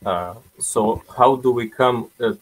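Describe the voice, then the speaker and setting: A man with a Russian accent calling in with a question, speaking haltingly as he searches for words. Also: mid-range voice, husky, poor audio. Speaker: caller asking a question.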